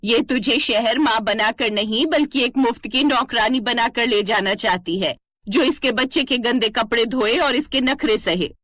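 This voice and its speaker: Casting: woman playing scornful village busybody, raised pitch, blunt, dry cartoon story recording. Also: normal speed, fairly bright tone, no echo.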